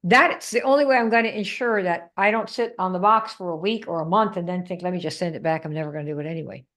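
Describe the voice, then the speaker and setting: A woman's voice: lowish voice, fast, fairly bright tone. A woman from the Netherlands coaching a live group on sales, emphatic and quizzing her audience. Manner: emphatic, asks the audience questions.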